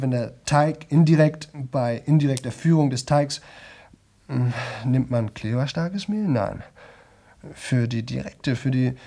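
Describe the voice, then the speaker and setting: A man's voice: mid-range voice, dark tone. An actor playing a chatty young man who rambles nonstop to an audience in a radio play, colloquial, digressive and often interrupting himself. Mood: muddled, searching. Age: young adult. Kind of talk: 1970 German radio drama monologue.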